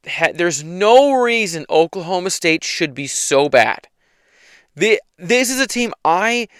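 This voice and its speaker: A man hosting a casual solo sports talk show, hoarse from yelling, opinionated and rambling with frequent restarts. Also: fairly high pitch, no echo, studio quality. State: hoarse, losing his voice. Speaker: podcast host.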